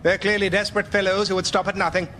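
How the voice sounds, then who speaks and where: Posh-worded british gentleman storyteller, high voice, very formal, old timey radio comedy tale. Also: little pitch movement, fast, a little hiss or hum. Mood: grandly narrating. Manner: formal, old-fashioned, mannered phrasing. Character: earnest gentleman narrator of a melodramatic period adventure tale. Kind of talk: scripted comic radio storytelling.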